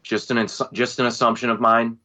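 Bright-voiced joking man on a podcast. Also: mid-range voice, fairly quick. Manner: animated, joking, mock-indignant storyteller. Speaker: podcast co-host. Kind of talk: casual podcast chat. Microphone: remote call mic.

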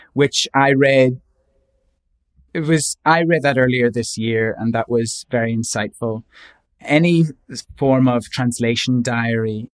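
Male translator, interview guest, reflective and measured, mid-range voice. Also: medium pitch. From Northern Ireland. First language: English. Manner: measured, reflective, with frequent restarts. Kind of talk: thoughtful interview answers.

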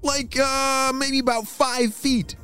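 A man voicing an excitable, goofy adult neighbor who exclaims loudly and gets flustered. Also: very high-pitched, slow, smooth, soft background music, voice pushed up, full character voice.

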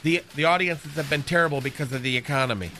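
A man's voice: a little high, fast, bright, forceful tone, a little hiss or hum. A man voicing a flamboyant, gossipy male receptionist in a radio comedy sketch, with a lilting, swooping delivery. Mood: catty. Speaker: show's receptionist character.

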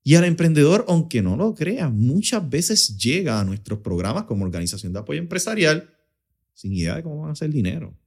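A man's voice: a little high, sing-song, brisk, darkish tone, slightly rough. A man with a Puerto Rican Spanish accent, an accelerator director answering interview questions in an explanatory way, mixing in English business terms. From Puerto Rico. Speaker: startup accelerator director, guest.

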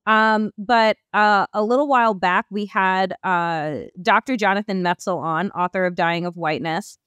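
A woman hosting a conversational interview, putting her questions in a wordy, halting way. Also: medium pitch, steady tone, a bit bright, clean tone, dry recording. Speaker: podcast host.

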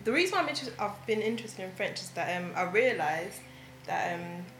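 A woman's voice: mid-range voice, brisk, faint music behind. Ghana-born woman in an echoey room. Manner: relaxed, explanatory, with fillers and hedges. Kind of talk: casual chat.

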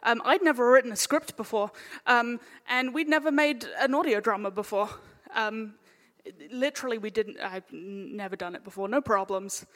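A woman with a fairly high voice speaks in a very echoey hall. She is a breezy, quippy panel member, chatting casually. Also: moderate pace, fairly bright tone. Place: live panel in a reverberant room. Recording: echoey hall with long reverb.

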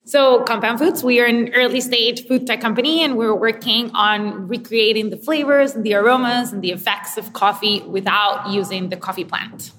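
A woman's voice: a little high, normal speed, hardly any pauses, fairly bright tone. A woman from Costa Rica answering conversationally as a startup founder and interview guest. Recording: long reverberant room sound.

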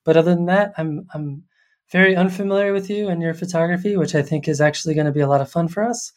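A host interviewing a guest, asking curious, measured questions in an upbeat way. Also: medium pitch, a little mellow. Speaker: podcast host. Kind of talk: interview hosting.

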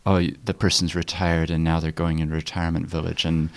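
A man's voice: very deep voice, little pitch movement, vocal fry. A man who grew up in New Zealand, hosting a casual conversation and often chiming in with short agreements. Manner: engaged, quick to chime in with short agreements. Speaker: podcast host.

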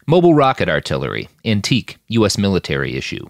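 A man acts out a drugged, violent mercenary in an audiobook. The voice is low and blunt with a slight slur, swinging in pitch, recorded dry. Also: wildly swooping pitch, fast talker, creaky, no room sound, heavily acted. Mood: drugged, sure.

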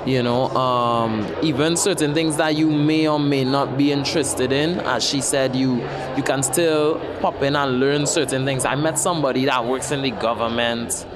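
A man with a Trinidadian accent chats easily about a festival in a relaxed way. His voice sits in a mid range. Steady city street noise and traffic surround him outdoors. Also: mid-range voice, moderate pace, few pauses. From Trinidad and Tobago. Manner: relaxed and chatty. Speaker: festival-goer and artist. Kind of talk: casual street interview.

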